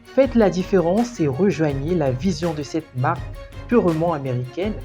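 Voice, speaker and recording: A woman's voice: low voice, animated, moderate pace, warm tone, faint music behind. A woman voicing a scripted commercial with punchy slogan delivery. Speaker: ad voice-over.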